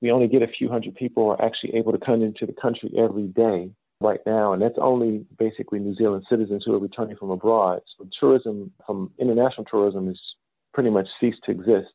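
A middle-aged American man from Chicago giving explanatory, measured answers as an interview guest, often dropping in "you know". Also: fairly low pitch, steady tone, gravelly, dry recording, phone-quality sound. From south side of Chicago, United States. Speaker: interview guest, business school dean.

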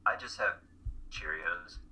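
A young man acts out a tired, apologetic college student in an audio play. He is slow and halting, with a crisp, bright edge to a subdued delivery. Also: sits low, expressive, exaggerated voice, low-quality recording. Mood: sheepish. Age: young adult. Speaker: actor in a dramatic reading. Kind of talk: radio-play style dramatic reading.

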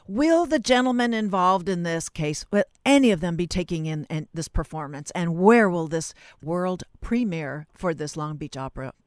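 A woman's voice: fairly low pitch, expressive, a little mellow, no room sound. Woman hosting, dry close sound. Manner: halting, roundabout phrasing. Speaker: radio interview host. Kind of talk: conversational radio interview.

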